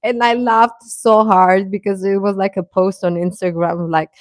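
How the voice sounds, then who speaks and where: A woman hosting a casual fan livestream, rambling and halting as she replies to comments, often with a chuckle in her talk. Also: medium pitch, fairly even intonation, dry recording. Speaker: host of a K-pop fan channel.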